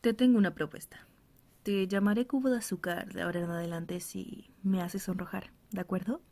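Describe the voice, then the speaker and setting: A woman's voice: medium pitch, fairly even intonation, brisk, dark tone, voice pushed down, lightly acted. A woman voicing a small female spirit, sweet and prim but bossy. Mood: coy.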